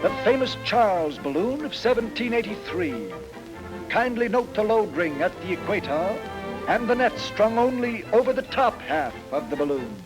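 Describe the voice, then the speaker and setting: Wry pompous 1940s film lecturer, high voice, music playing behind him, old soundtrack. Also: male voice, big pitch swings, normal speed, poor audio. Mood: showy, pompous. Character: wry, showy male lecturer, playfully pompous. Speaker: comic second narrator of a training film. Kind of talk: 1940s comic film commentary.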